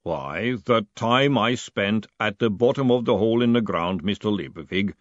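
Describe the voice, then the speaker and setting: A man voicing a huge, placid clay golem who speaks slowly and deliberately in a deep rumble. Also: no echo, close to an everyday voice. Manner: slow, deep, rumbling, measured and literal. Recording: dull lo-fi recording.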